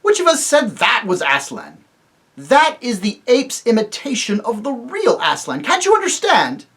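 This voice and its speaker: Guy doing a young king who's fuming, high and loud, swoops around. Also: wildly swooping pitch, unhurried, exaggerated voice. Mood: indignant. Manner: indignant, lofty. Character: proud young human king, angry. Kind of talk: audiobook reading.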